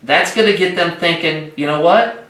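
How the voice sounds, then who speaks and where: A man with a fairly high voice speaks in an echoey room as he hosts a training call. He coaches in a direct, instructional way, repeating key points for emphasis. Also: moderate pace, a bit bright. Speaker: sales trainer hosting an agent conference call. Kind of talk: instructional sales coaching talk.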